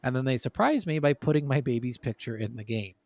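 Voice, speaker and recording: A man's voice: medium pitch, animated, fast talker, gravelly, a little mellow, dry recording. A man co-hosting a podcast, chatting informally and telling anecdotes in a conversational way. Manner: informal, acts out quotes conversationally. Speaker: podcast co-host. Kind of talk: casual chat, anecdotal storytelling.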